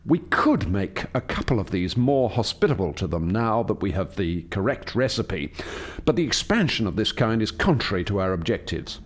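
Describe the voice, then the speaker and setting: A man plays an authoritative alien scientist heading a lab in a radio drama. His formal, lecturing delivery is measured in its wording, with lively swings of pitch that stress each point. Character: Doctor Foster, authoritative male alien scientist leading a lab. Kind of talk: radio play acting.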